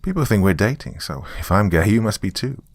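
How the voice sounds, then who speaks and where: A man's voice: on the low side, lively intonation, fairly quick, dry recording, studio quality. A man voicing a blunt, self-assured teenage boy, a loyal friend, in a narrated story. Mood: challenging.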